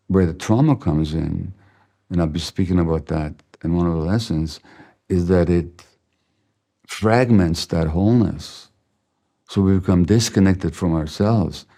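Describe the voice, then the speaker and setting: An older man, a Hungarian native speaker, giving a slow, measured, reflective masterclass lecture as a physician. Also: deep voice, mellow.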